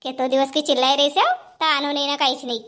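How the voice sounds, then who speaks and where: A woman's voice: high-pitched, little pitch movement, quick pace, a bit bright. A woman voicing a complaining village housewife in a cartoon comedy, chattering and scolding with a Powari accent. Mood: exasperated, nagging.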